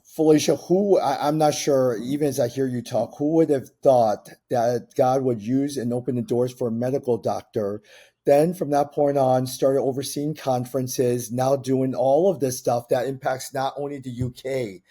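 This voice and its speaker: A man hosting a podcast interview, losing his voice and sounding husky, encouraging his guest. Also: medium pitch, steady tone, normal speed, dark tone. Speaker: podcast host. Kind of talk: conversational interview.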